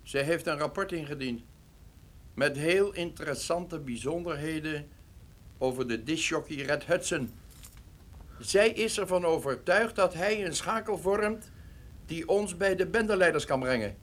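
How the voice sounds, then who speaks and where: A formal stern investigator in a radio play, pressing, slightly high voice. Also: male voice, animated, measured pace. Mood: stern, precise. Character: stern, official male investigator seeking a report. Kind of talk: radio drama acting.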